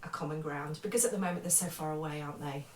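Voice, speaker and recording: A woman's voice: sits low, fast. A woman in a casual interview conversation, talking earnestly and emphatically and repeating her phrases. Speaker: interviewer, spiritual medium. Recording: roomy, distant mic, background noise.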